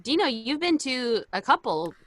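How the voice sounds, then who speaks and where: Animated higher-voiced woman chatting casually. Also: normal speed. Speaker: podcast host. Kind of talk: casual chat.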